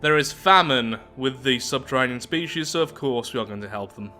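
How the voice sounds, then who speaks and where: A man with a mid-pitched, bright voice hosts a game playthrough. He moves between reading story text aloud and chatting to himself about what happens. Faint music plays underneath. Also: animated, fairly quick. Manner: talks to himself, reacts aloud to events. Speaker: gaming video host. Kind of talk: game playthrough commentary mixing read-aloud event text with casual asides.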